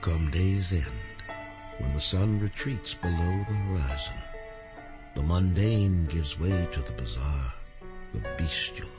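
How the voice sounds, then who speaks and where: An actor playing an ominous narrator of a horror anthology in 1940s radio style, with a deep voice. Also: male voice, a little slow. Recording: radio drama clip under loud music. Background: loud dramatic music.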